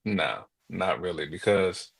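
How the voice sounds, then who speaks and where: A man's voice: low voice, expressive, takes it slowly, gravelly, breathy edge. A man in a casual, opinionated chat, speaking colloquially and emphatically and repeating his points.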